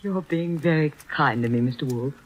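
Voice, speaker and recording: An actress playing an anxious, grateful young wife who speaks with earnest, breathless concern, in 1940s radio acting. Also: female voice, sits low, normal speed, poor audio.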